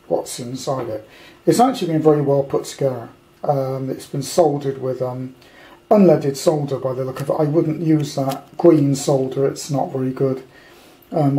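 An older British man with a fairly high-set, soft-edged voice. He talks off the cuff in a casual hands-on demonstration, wandering from point to point with blunt grumbles. The tone stays dark and relaxed. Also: poor audio. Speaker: amateur radio hobbyist presenting a kit. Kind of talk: casual unscripted show-and-tell. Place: indoors at a tabletop at home.

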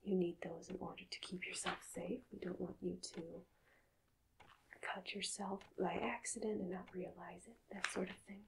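A woman speaking in a hushed, halting, calm half-whisper, soft-spoken in an ASMR roleplay as a doctor, close to the mic. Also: lowish voice, creaky, background noise. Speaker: ASMR performer playing a hypnotist doctor.

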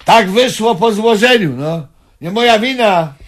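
An actor playing a cocky, lazy middle-aged handyman in a comedy radio play, grumbling bluntly with a gravelly, husky voice. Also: high-pitched, animated, a little slow. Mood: straining, defiant. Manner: grumbling, blunt, self-important.

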